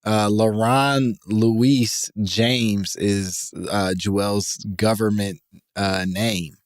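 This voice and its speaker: A man co-hosting a comedy podcast, chatting casually with profane asides, frequent laughs and halting fillers. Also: fairly low pitch, fairly even intonation, dry recording, studio quality. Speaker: podcast co-host.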